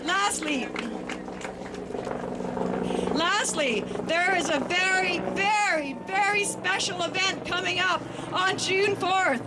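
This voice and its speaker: A woman's voice: extremely high pitch, slow. A woman giving an emphatic public rally speech, repeating key words for stress. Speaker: activist speaker at a rally. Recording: noisy outdoor event with traffic.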